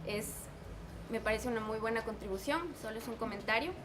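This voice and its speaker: A woman speaking as a panelist in a discussion, measured and hesitant. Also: on the high side. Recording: noisy room recording.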